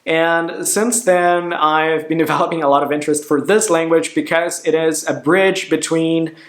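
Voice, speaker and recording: A man's voice: on the high side, steady tone, unhurried, fairly bright tone, reverberant. A young man from southern Brazil, chatting upbeat to camera in a vlog about how he learned languages. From Curitiba, southern Brazil. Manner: chatty, upbeat, switches languages between sections. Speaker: language vlogger. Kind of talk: casual direct-to-camera vlog.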